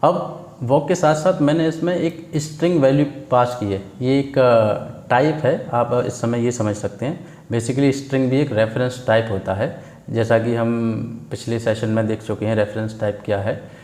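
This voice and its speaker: An Indian man teaches in an informal screen-share tutorial style, recorded with a roomy echo. His pitch is average for a man. He explains step by step, posing questions, answering them and checking in often. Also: moderate pace, a little mellow. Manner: didactic, asks and answers own questions, frequent check words. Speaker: programming tutor. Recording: echoey, roomy sound.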